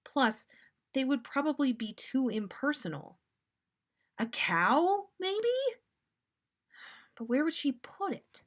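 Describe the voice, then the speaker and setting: A woman's voice: high-pitched, sing-song, takes it slowly, pauses often, pitched above the performer's own voice, heavily acted. A woman voicing an earnest, dramatic, easily panicked teenage boy in a story reading. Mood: anxious pondering. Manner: overwrought, exclaiming.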